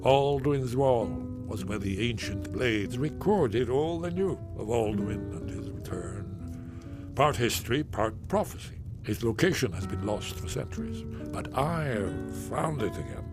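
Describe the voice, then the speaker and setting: An actor voicing an elderly, excitable male loremaster in a video game, rambling theatrically as he explains. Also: medium pitch, a little slow, music in the background. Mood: musing.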